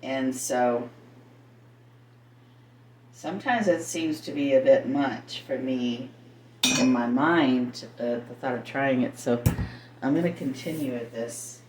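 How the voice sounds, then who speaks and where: A woman's voice: very deep voice, slow, pauses often. A middle-aged woman chatting casually and thinking aloud as she demonstrates home cooking, in a rambling manner. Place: home kitchen, indoors. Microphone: camera set a little away. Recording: roomy, distant mic, faint noise, kitchen clatter.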